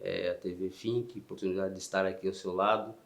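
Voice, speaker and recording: A man in his fifties with a São Paulo accent answers interview questions in a soft-spoken, halting way. His voice sits somewhat low, and he often trails off with "né". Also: little pitch movement, vocal fry. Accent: São Paulo Portuguese. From São Paulo, Brazil. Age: 50s. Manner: soft-spoken and halting, with frequent "né" tags and restarts. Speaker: interview guest, Paralympic swimmer.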